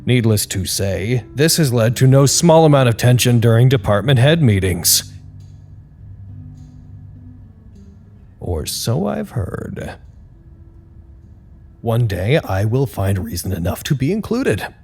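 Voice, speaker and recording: A man's voice: medium pitch, very animated, a little slow, a little mellow, faint music behind. A man acting in an audio drama as a bookish researcher, speaking in a precise, wry, wordy way. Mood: dry, wry. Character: bookish, dryly formal male researcher keeping records. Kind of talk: audio drama acting, journal-like narration and dialogue.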